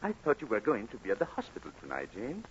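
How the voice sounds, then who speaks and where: An actor playing a nervous, suave playwright husband in an old radio comedy, courtly but hesitant and stammering. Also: male voice, fairly low pitch, huge pitch swings, fast, breathy edge, heavily acted. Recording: muffled old radio broadcast recording.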